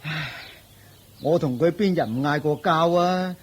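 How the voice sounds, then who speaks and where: An actor playing a poor, timid, henpecked husband in a radio drama, pleading and stammering in a Hong Kong Cantonese accent. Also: male voice, fairly high pitch, unhurried, breathy edge. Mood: meek, resigned.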